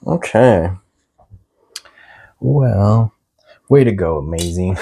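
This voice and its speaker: A man's voice: on the low side, animated, drawn-out words, vocal fry, warm tone, a little hiss or hum. A man playing a tabletop game as the opponent, chatting relaxedly about his plays. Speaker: co-host, opponent player. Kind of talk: casual tabletop game talk.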